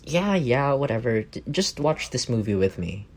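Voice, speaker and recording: A man's voice: mid-range voice, very animated, normal speed. A young man playing an affectionate, teasing roommate with a secret crush in a casual, intimate ASMR roleplay. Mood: playful, casual. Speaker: voice actor in audio roleplay.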